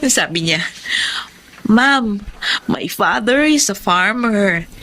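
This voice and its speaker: A woman's voice: medium pitch, slow pace. A woman acting a friendly, encouraging schoolteacher, polite in a television drama. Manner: polite, animated, warm encouragement.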